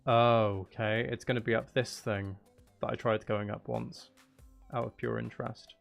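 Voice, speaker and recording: A man's voice: medium pitch, flat delivery, a little slow, creaky, soft background music. A man giving casual livestream commentary on a video game, talking to himself with mutters and exclamations. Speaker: game streamer.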